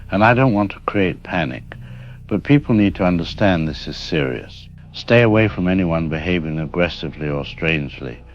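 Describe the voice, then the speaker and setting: A man's voice: low voice, slight background noise. A man playing a worried doctor in an audio drama, giving sober, frank, urgent warnings as a radio interview guest. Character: grave, worried male doctor warning the public. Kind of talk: radio news interview acting. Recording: dull lo-fi broadcast sound, music underneath.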